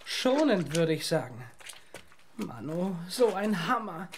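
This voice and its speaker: A woman's voice: on the low side, very animated, slow pace, a little hiss or hum, heavily acted. A woman playing a down-to-earth young schoolboy in a children's audio drama. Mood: dismayed.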